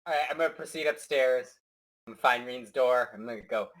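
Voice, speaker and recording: A man playing a tabletop roleplaying game, talking in character with excitable stammering. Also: a little fast, rough recording. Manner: excitable, stammering, jumpy pitch. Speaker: player.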